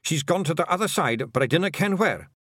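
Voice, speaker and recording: A man's voice: a little high, lively intonation, a bit bright, no room sound, studio quality, voice pushed up. A man voicing a sheepish little fairy man with a Scottish accent. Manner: sheepish, fast-talking brogue.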